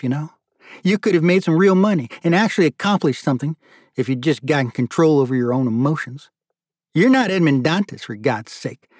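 Pitched high and gruff, this is a man performing a frail old brother from an audiobook. He has a thick Manhattan accent and a sarcastic, condescending manner. He is pushy and dramatic, every bit the overbearing elder. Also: a little mellow, no echo, clean studio sound.

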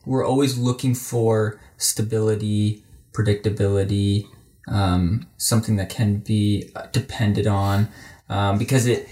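A man with a family counseling background, explaining ideas thoughtfully with many pauses and fillers. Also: fairly low pitch. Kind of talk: casual interview talk.

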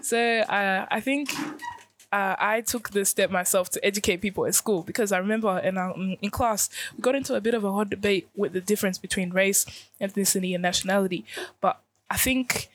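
A woman's voice: medium pitch, steady tone, a little fast, a little hiss or hum. A teenage girl co-hosting a youth panel discussion, speaking earnestly and thoughtfully, often restarting phrases as she explains. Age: teens. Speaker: youth podcast co-host.

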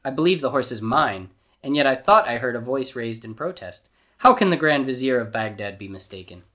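A man's voice: mid-range voice, lively intonation, a little fast, bright, forceful tone. A man acts out a villainous Grand Vizier from an adventure storybook. He is pompous and menacing, with cold deliberation and widely swinging theatrical delivery. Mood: cold contempt.

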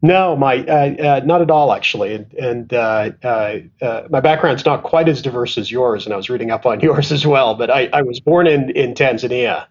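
A middle-aged man from Canada speaks in a husky, somewhat breathy voice, recounting his career with self-deprecating asides. He pauses and restarts often as he thinks. The recording sounds dull and low-fidelity, as if from a remote call. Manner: thoughtful, self-deprecating, frequent restarts and fillers. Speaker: asset management executive, guest. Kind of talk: conversational interview storytelling.

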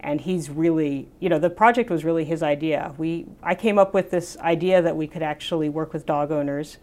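Low-pitched woman, scientist guest, eager and explanatory. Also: brisk, darkish tone. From United States. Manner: enthusiastic, explanatory, frequent "you know". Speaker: scientist, professor. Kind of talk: interview answers.

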